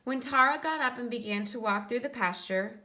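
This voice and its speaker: A woman's voice: on the high side, normal speed, sharp tone. A woman teacher reading a nonfiction book aloud for children, instructive and explaining things in simple terms.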